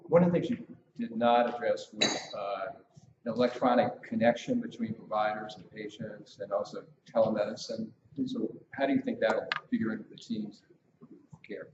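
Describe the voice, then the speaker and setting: A man's voice: fairly low pitch, fairly even intonation, moderate pace. A man in a lecture audience, putting a question to the speaker. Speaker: audience member asking a question. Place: indoor lecture room. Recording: muffled, echoey room with clear noise.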